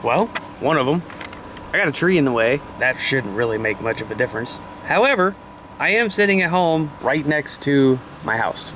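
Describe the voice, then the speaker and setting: A man's voice: mid-range voice, sharp tone. A man, chatting casually and folksily to viewers on a handheld camera in and around a car. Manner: folksy, colloquial. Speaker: amateur CB radio vlogger. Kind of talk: casual vlog talk to viewers. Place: in a parked car and outdoors beside it. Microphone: handheld camera at arm's length. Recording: clearly noisy handheld camera audio.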